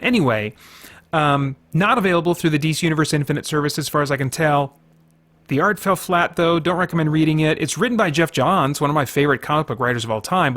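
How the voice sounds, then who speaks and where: A man hosting a review show, talking in a casual, opinionated way with earnest, halting delivery and emphasis on key words. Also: a little high, a bit bright. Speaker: host of a comics review series.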